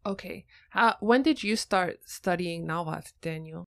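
A woman's voice: lowish voice, measured pace, vocal fry. A woman speaking conversationally as a podcast host, interviewing guests.

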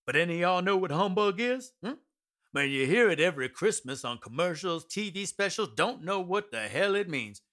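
A man's voice: high voice, animated, moderate pace, pushed and bright. A male actor playing a wry, irreverent storyteller in a radio drama, colloquial and folksy, addressing listeners directly. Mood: wry, explaining.

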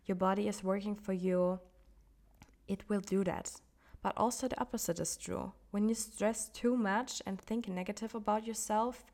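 A woman hosting a solo wellness podcast while sick with the flu, so her voice sounds off; she talks calmly and gently, with soft, nearly whispered moments. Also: medium pitch. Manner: calm, gently encouraging self-care advice. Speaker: podcast host.

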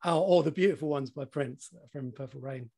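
Husky, croaky man in his forties. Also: fairly high pitch, brisk, darkish tone. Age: 40s. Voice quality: slightly croaky. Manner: chatty, laughs often, swears casually. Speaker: podcast co-host. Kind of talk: casual chat.

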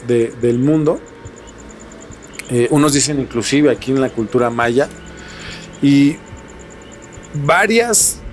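Mexican man, slightly high voice, emphatic. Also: slight background noise. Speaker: podcast host. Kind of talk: casual podcast storytelling.

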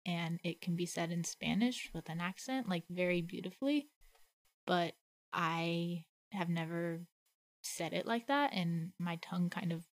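A woman's voice: lowish voice, a little slow, no echo. A woman co-hosting a casual faith conversation, reflective and thinking aloud with many "like" fillers. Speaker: podcast co-host.

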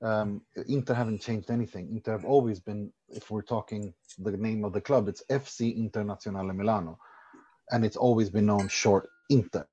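A man's voice: lowish voice, steady tone, fairly quick, warm tone, breathy edge, lo-fi sound. A man from Sweden, a football journalist guesting on a podcast, explaining his views at length with firm confidence. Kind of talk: casual podcast discussion.

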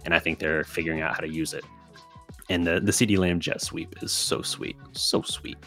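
Deep-voiced man with a scratchy voice. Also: steady tone, moderate pace, a bit bright, soft background music. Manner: thinking aloud, with restarts and fillers. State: voice getting scratchy and raspy. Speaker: podcast host. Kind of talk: solo sports podcast with listener questions.

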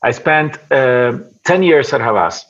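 A man from Venezuela, speaking English as a guest on a podcast, halting and repeating words as he thinks aloud. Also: mid-range voice, animated, dull audio. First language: Spanish. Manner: halting, many repeated words, soft laughs. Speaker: podcast guest, advertising creative. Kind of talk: casual interview chat.

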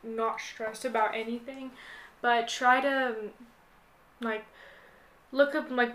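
Young woman, higher voice, flat intonation, informal, casual podcast guest. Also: rough recording. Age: young adult. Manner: informal, frequent "like". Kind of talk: casual chat.

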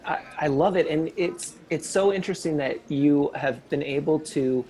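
A man's voice: fairly high pitch, moderate pace, darkish tone. A man hosting an entrepreneurship podcast, speaking in an upbeat, conversational way and encouraging his guest. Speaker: podcast host. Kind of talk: podcast intro and interview.

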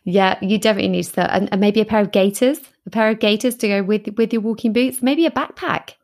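A woman's voice: mid-range voice, fairly even intonation, fast, darkish tone. Teasing woman podcast host. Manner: friendly, teasing, encouraging questions. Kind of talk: interview hosting.

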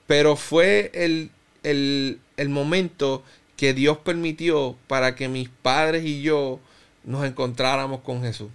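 Man, 30s, Cuban Spanish accent, smooth voice, halting reflective testimony. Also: medium pitch, fairly even intonation. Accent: Cuban Spanish, softened by Puerto Rico. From Cuba. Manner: reflective, halting, many restarts and fillers. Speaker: interview guest. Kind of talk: personal testimony in conversation.

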